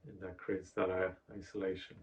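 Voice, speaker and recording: A man's voice: low-pitched, little pitch movement. A man from Bulgaria with a Bulgarian accent, earnestly telling stories in a casual conversation as a podcast host. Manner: earnest, storytelling, halting restarts. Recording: dull lo-fi sound.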